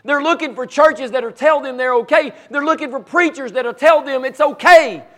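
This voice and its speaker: Male pastor, high and raspy, fervent, with repeated emphatic lines. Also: moderate pace, bright, forceful tone. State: raspy voice from cheering and allergies. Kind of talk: preaching a sermon.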